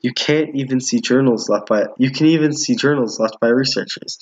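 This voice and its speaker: A man with a husky, mid-pitched voice gives casual commentary on a game he is streaming. He reads text aloud, stumbling and doubling back at times. The recording is dull and a bit roomy. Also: brisk. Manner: stumbles while reading, repeats lines. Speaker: streamer playing a visual novel with viewers. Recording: muffled, roomy home recording.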